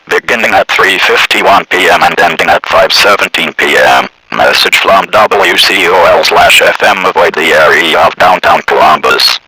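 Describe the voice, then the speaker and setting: A low, robotic man's voice reads formal emergency bulletins. The tone is intensely bright and hard-edged, with a rough, husky rasp. It stays impersonal and scripted throughout. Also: rough recording. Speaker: emergency alert announcer. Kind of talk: scripted civil emergency message.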